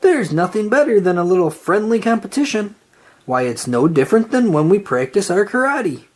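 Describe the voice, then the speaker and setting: Someone reading as a folksy upbeat cartoon critter girl, encouraging, bit husky, animated, storybook style. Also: medium pitch, normal speed. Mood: reassuring. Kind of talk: children's storybook character read-aloud.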